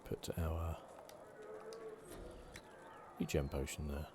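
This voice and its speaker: A man softly commentating a video game playthrough in an ASMR style. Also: very deep voice, level tone, slow pace, noisy background. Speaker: gaming channel host.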